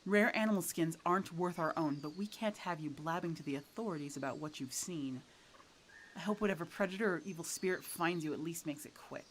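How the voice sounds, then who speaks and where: A hard-bitten female poacher, played by a woman in an audio roleplay. Her voice sits on the low side, curt and sardonic, with an irritable, threatening edge. Faint noise lies under the recording. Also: brisk, natural voice. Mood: cold, threatening.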